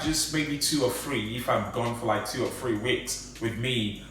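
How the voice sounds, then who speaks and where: A chatty, excitable man talks casually through an unboxing, thinking aloud as he goes. His voice sits at an average pitch for a man. It is recorded from a distance in a strongly echoing room. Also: background noise. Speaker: vlogger. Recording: echoey room, mic at a distance.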